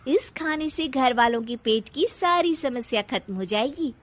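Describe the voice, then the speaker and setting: A woman's voice: high voice, lively intonation, unhurried. A woman voicing an eager young daughter-in-law in a cartoon family story, polite and proud of her cooking.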